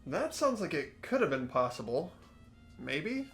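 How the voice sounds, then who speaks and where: A man's voice: fairly high pitch, sing-song, measured pace, fairly bright tone, faint music behind, heavily acted. A man voicing an earnest, curious and unsure teenage schoolboy in a fan-voiced mystery game, questioning and thinking aloud. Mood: puzzled, curious. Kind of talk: fan voice-over of a mystery visual novel.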